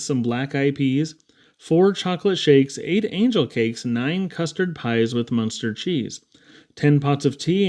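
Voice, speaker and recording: A man reading children's poetry aloud in an expressive, theatrical storytelling style with dramatic emphasis. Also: mid-range voice. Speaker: reader.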